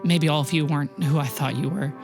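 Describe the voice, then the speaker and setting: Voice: mid-range voice, fairly even intonation, quick pace, darkish tone, voice pushed down, natural voice. A game master voicing a hard, furious woman with a curt, confrontational manner. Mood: bitter, disappointed. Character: Lucy, furious, hard, disappointed gun-threatened woman. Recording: music bed under the voice.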